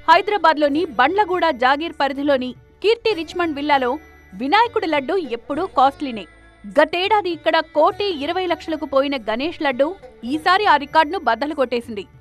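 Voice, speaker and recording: A woman reading scripted news narration as a reporter. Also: high-pitched. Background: background music.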